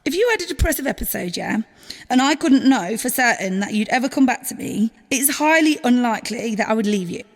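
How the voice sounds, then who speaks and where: A woman's voice: on the high side, animated, a little fast, long reverb tail. A woman acting a loving, exasperated girlfriend in an audio drama, emotional, pleading and informal, with some swearing. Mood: loving, reassuring. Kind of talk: naturalistic audio drama dialogue.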